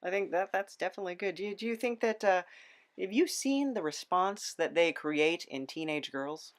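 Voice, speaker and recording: A man's voice: high-pitched, animated, moderate pace. A man hosting a podcast in a casual interview style, agreeable and easily amused. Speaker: podcast host.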